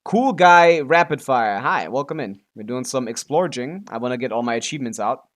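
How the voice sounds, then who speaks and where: A man's voice: medium pitch, animated, a little fast. A man chatting casually on a gaming livestream as the streamer, often muttering to himself and swearing offhandedly. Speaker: live streamer.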